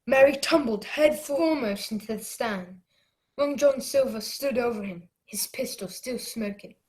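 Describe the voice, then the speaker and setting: A woman's voice: a little high, unhurried, background noise, close to an everyday voice. A woman playing the earnest narrator of an adventure radio play, telling it as a dramatic memory. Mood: tense. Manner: dramatic storytelling.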